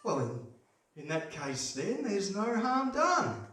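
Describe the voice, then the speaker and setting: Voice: medium pitch, sing-song, takes it slowly, fairly bright tone. A performer playing a hapless henpecked fisherman in a live radio play, plaintive and hesitant. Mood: tender, sheepish. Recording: very echoey hall, distant, noisy.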